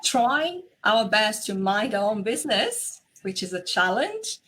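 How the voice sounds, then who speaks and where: A woman's voice: mid-range voice, sing-song, a little slow, fairly bright tone, a little hiss or hum. A woman from Brazil, a native Portuguese speaker with a Brazilian accent, talking earnestly and directly as an interview guest. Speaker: interview guest, relationship coach. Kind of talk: reflective interview talk.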